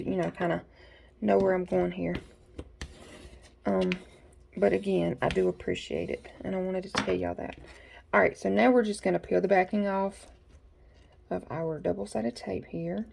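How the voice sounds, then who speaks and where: A woman's voice: lowish voice, steady tone, normal speed, vocal fry, pauses often, darkish tone, slight background noise. A woman, a bit congested from allergies, chatting folksily with viewers as she works at her home craft desk. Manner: chatty, folksy, talks to viewers while working. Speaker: craft tutorial host. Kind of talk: casual step-by-step craft tutorial.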